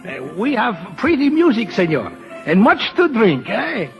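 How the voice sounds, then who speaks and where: A jolly, big-hearted Mexican carousel man, tipsy and effusive in broken English. His voice sits high and swings widely, with a creaky edge, in old-time radio drama fashion. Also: huge pitch swings, a little slow, highs cut off, soft background music, exaggerated voice. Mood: merry, boisterous. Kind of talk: 1940s radio acting.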